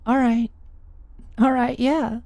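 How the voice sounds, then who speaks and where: A woman's voice: fairly high pitch, steady tone, slow pace, gravelly, warm tone, no room sound, pitched above the performer's own voice. A woman voicing a proud, prim young woman in an audiobook, defensive yet smitten. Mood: sweet, smitten. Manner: scoffing, earnest, giggly on the phone. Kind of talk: audiobook character dialogue.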